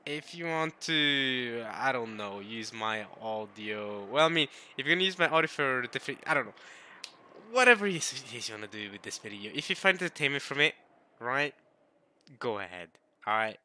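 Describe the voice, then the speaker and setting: Young man, very bright tone, rambling casual talk, babbles to viewers. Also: medium pitch, lively intonation, moderate pace, a little hiss or hum. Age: young adult. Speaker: video creator reading a visual novel.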